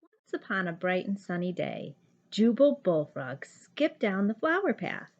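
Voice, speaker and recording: A woman reading a children's storybook aloud like a teacher, with deliberate, expressive narration. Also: medium pitch, dull audio. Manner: deliberate, animated.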